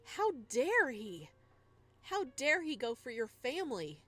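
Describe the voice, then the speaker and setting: A woman's voice: high voice, very animated, takes it slowly, fairly bright tone, faint music behind, pitched above the performer's own voice, full character voice. A woman voicing an angry, scathing, defiant protagonist on the run in a visual novel. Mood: furious. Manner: scathing, indignant. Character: defiant, angry, sharp-tongued fugitive protagonist.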